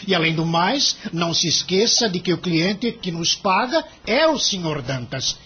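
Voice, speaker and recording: A Brazilian actor playing a cynical, money-minded senior lawyer in an audio drama, emphatic and condescending. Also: male voice, sits high, sing-song, normal speed, pushed and bright, muffled recording, slight background noise, exaggerated voice. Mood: scornful, domineering. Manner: emphatic, condescending, theatrical delivery.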